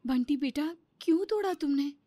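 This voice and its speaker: A woman's voice: high voice, normal speed, warm tone, dry recording, studio quality, close to an everyday voice. A woman playing a meek, hurt sister and mother in a story, earnest and pleading.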